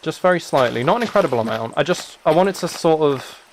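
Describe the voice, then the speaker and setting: A man's voice: a little high, animated, fast talker, slight background noise, poor audio. Casually rambling young British man. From United Kingdom. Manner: rambling, thinking aloud. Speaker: live streamer. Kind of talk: casual chat while streaming a game.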